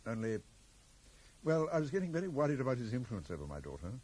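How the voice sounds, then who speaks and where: An actor playing a worried, protective middle-aged father in a 1980s radio drama, speaking formally and with deliberate hesitation. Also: mid-range voice, very animated, a little mellow. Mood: uneasy, brooding. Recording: slightly noisy old radio broadcast recording.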